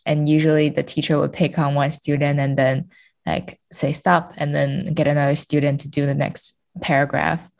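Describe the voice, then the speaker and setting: Low-pitched woman chatting as podcast guest. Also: level tone, moderate pace, creaky, a little mellow. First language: Mandarin Chinese. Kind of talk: casual book discussion.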